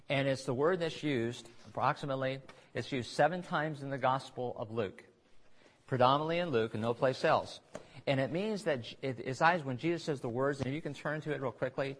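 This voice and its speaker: A man preaching a conversational sermon, pausing for asides and restarting phrases as he works through scripture. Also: medium pitch, low-quality recording. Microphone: recorded on tape in a church.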